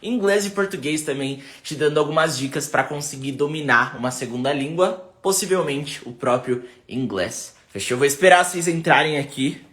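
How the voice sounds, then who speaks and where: Bright-voiced Brazilian man hosting a live stream. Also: a little high, normal speed, hardly any pauses. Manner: chatty, switches between Portuguese and English. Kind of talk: casual live-stream chat. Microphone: phone on a tripod.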